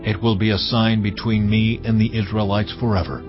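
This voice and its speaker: An actor playing the Lord God as a solemn, stern, commanding deity in a dramatized scripture reading, measured and deliberate. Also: male voice, fairly low pitch, flat delivery, lightly acted. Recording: dull band-limited sound with music behind. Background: background music.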